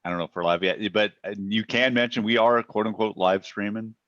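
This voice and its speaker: A man co-hosting a podcast, chatting casually over beers in a relaxed way and laughing often. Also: lowish voice, a little fast, no echo. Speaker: podcast co-host. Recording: dry, dull lo-fi sound.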